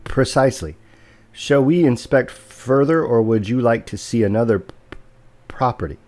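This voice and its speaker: A man's voice: mid-range voice, normal speed, darkish tone, natural voice. A man playing a formal, coolly courteous property broker who stutters, in an audio drama. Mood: cool, precise.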